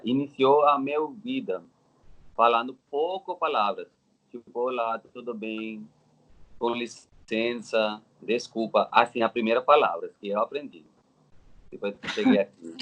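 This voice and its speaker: Indian guy in his 30s w indian accent telling his story, halting simple phrases, kinda muffled lo-fi sound. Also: medium pitch. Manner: halting, non-native Portuguese with simple phrasing. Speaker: interview guest. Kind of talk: casual personal storytelling. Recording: dull, lo-fi audio.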